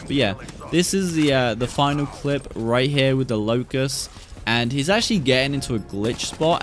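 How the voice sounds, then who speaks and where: A young man in his twenties giving relaxed, rambling commentary on gameplay clips from a small room with his gaming setup, talking into a studio mic on a boom arm. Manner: relaxed, rambling, hype reactions. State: recovering from losing his voice. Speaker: gaming YouTuber. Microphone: studio mic on boom arm, webcam. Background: music under the voice.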